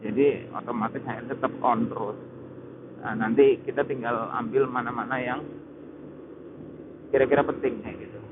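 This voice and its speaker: Indonesian guy doing a motovlog review, kinda halting and casual, tinny helmet mic, lots of road noise. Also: medium pitch, fairly even intonation. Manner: halting, searching for words, casual. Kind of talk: unscripted spoken review while riding. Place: outdoors on a motorcycle in traffic. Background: loud vehicle and road noise.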